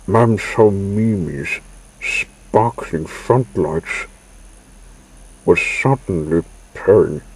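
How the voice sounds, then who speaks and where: A man with a low, rough-edged voice narrates a children's story. He goes extremely slowly, in halting little phrases. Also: rough recording. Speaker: storyteller reading a children's book aloud. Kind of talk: slow read-aloud children's story narration.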